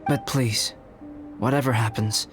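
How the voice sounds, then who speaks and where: An actor playing a calm, reserved young alchemist in a video game, speaking in a formal, measured, articulate way. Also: male voice, medium pitch, close to an everyday voice. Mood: grave, polite. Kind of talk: video game story dialogue. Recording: game music behind the voice. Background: background music.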